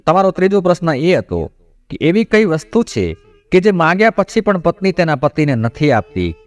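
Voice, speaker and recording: A man's voice: a little high, big pitch swings, normal speed, soft background music. A man voicing a solemn male god who instructs his consort in a devotional story. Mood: solemn, instructive.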